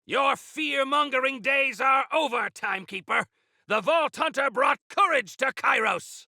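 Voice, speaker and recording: An actor playing a grandiose, eccentric exiled miner rebel in a video game, speaking in florid, archaic, theatrical phrases. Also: male voice, very high-pitched, a little slow, gravelly, sharp tone, dry recording, studio quality, full character voice. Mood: furious, defiant.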